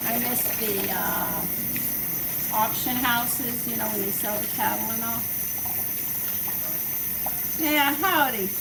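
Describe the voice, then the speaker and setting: Woman, from texas, rambling live-stream cooking chat, far-off mic, loud running water. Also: a little high, slow pace, vocal fry, pauses often, echoey room, heavy background noise. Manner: chatty, rambling, answers viewers' comments. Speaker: home cooking show host. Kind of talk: casual live-stream chat while baking.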